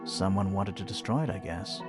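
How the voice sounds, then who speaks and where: A man's voice: low voice, moderate pace, faint music behind, close to an everyday voice. A man playing an inquisitive detective in a mystery video game, thinking aloud expressively as he reasons through a case. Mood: curious, unsure. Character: inquisitive male detective piecing together a murder mystery. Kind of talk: video game voice acting, conversational deduction.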